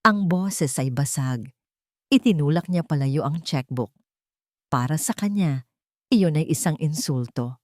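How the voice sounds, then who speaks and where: A woman's voice: deep voice, lively intonation, measured pace, pauses often, no echo, studio quality. A woman reading a scripted romantic drama as a storyteller, with dramatic narration.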